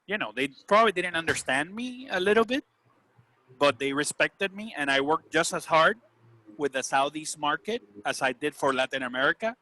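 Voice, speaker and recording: Bright-voiced man with a Puerto Rican accent. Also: fairly high pitch, animated, moderate pace, rough recording. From Puerto Rico. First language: Spanish. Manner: earnest, seeks agreement with "right?" and "you know". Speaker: business executive leading a group discussion. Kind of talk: casual group discussion. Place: video call.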